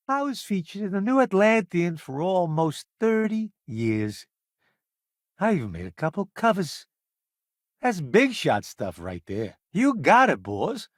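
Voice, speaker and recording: A man's voice: sits high, no room sound, studio quality, exaggerated voice. An actor playing a brash, self-assured middle-aged food journalist turned crewman in a video game, with a wry, theatrical delivery. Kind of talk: video game companion voice lines.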